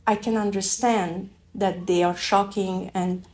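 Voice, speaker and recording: A middle-aged woman from Afghanistan with a French accent, telling her own story in a reflective, halting way with many restarts. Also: medium pitch, fairly even intonation, darkish tone. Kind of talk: reflective personal testimony.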